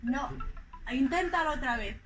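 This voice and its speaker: Lively, bright-voiced Madrid woman. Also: high voice, soft background music. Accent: Spanish from Madrid. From Spain. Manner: playful, deliberate spelling out of words. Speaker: language teacher in a scripted dialogue. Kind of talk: slow, clearly articulated teaching dialogue. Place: outdoors in front of a green wall.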